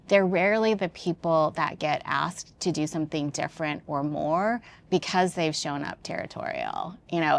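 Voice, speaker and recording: A woman with a notably low voice and plenty of vocal fry, talking as a guest in a podcast interview. She speaks in a thoughtful, informal, conversational way, working through her ideas as she goes. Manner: thoughtful, informal, peppered with "like" and "you know". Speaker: interview guest, business executive.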